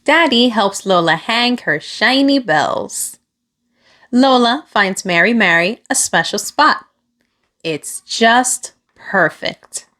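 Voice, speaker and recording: A woman reading a children's picture book aloud, with expressive, deliberate phrasing aimed at young listeners. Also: on the high side. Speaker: children's storybook reader.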